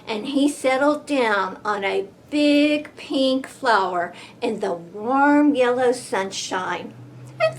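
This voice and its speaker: A woman reading a picture book aloud to children, expressive and deliberate, with playful emphasis. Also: a little high. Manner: expressive, deliberate, with playful sound effects and gasps.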